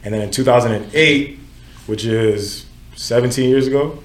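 A man's voice: mid-range voice, normal speed, echoey room. A man in his twenties, from Nigeria, hosting a casual group podcast and talking things through in a conversational way with frequent self-corrections. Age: 20s. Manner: conversational, self-correcting, lots of "you know what I'm saying". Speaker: podcast host.

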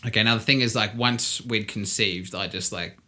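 A South African man hosts a casual podcast in a bright, forceful voice that sits on the low side. He talks like a bloke among mates, informal, slangy and jokey. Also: steady tone, normal speed. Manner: blokey, informal and slangy, hesitant restarts, chuckles through his points. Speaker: podcast host.

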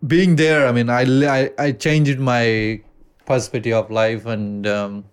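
A man with an Indian accent hosting a casual podcast chat, talking loosely with frequent swearing and halting restarts. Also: medium pitch, fairly even intonation, clean tone. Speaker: podcast host.

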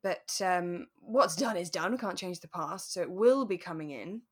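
Woman in relaxed, lowish-pitched chat. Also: moderate pace, breathy edge. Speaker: podcast co-host. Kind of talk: relaxed chat discussing a radio drama.

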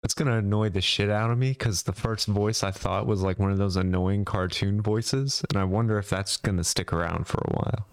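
A man's voice: fairly low pitch, steady tone, brisk, hardly any pauses, dry recording. A man co-hosting a casual podcast, rambling, repeating himself and swearing casually. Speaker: podcast co-host.

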